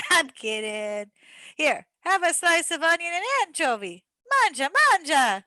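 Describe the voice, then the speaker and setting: A woman playing an eager, chatty pizza parlor worker in a comic radio play, fussy, halting and keen to please. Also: high voice, big pitch swings, bright, forceful tone, dry recording, clean studio sound, full character voice. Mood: eager, welcoming.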